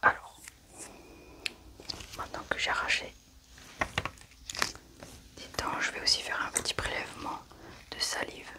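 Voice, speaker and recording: A woman's voice: unhurried, pauses often. A woman doing an ASMR medical roleplay, methodically narrating each step as she works.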